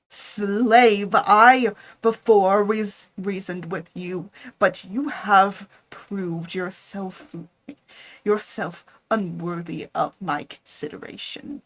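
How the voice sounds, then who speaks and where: A defiant young male scientist , read by a woman, refuses the monster with loud, firm declarations. The delivery is plain storybook reading, and it sounds angry and resolute. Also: medium pitch, poor audio.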